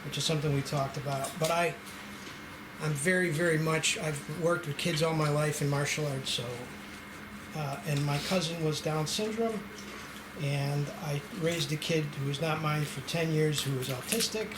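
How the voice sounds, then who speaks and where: Man, table read host, informal organizer, slightly high pitch, clear background noise. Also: moderate pace. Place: indoor room with a group. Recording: noisy, echoey room.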